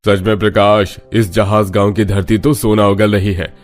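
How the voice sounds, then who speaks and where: A man's voice: lowish voice, little pitch movement, normal speed, soft background music, lightly acted. A man voicing a sober older village headman in a cartoon story, plain-spoken and colloquial. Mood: pleased.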